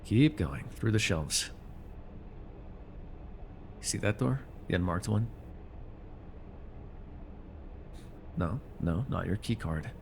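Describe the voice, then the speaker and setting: A man's voice: deep voice, expressive, slow pace, gravelly, a little hiss or hum, full character voice. A man playing a smug, menacing male supervillain in an ASMR roleplay, taunting and commanding the listener with condescension. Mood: sly, menacing.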